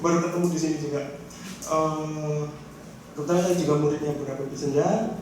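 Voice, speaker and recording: A man from Indonesia talks casually before a seminar audience, stopping often with fillers and slipping in wry jokes about himself. His slightly high voice sounds far off in a very echoey room, with steady noise behind him. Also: brisk. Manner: hesitant, self-deprecating humor, many fillers. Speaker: guest speaker, novelist. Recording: echoey room, distant mic, noisy.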